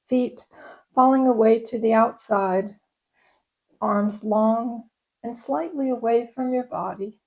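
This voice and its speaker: A woman yoga nidra instructor leading a guided meditation in a gentle, soothing, unhurried way. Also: on the high side, low-quality recording.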